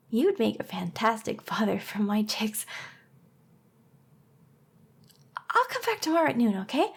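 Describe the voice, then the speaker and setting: A woman voicing a young, clingy, lovesick harpy girl in an anime ASMR roleplay, with a breathy, often whispery voice and a hesitant, earnest delivery. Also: sits high, exaggerated voice. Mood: overjoyed, gushing. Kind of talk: anime ASMR roleplay acting, intimate address to listener.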